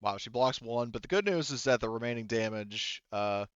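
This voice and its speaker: A man's voice: medium pitch, expressive, normal speed, clear, resonant tone, no room sound, dull audio. A man acting as game master of a tabletop roleplaying game, casually talking players through rules and results.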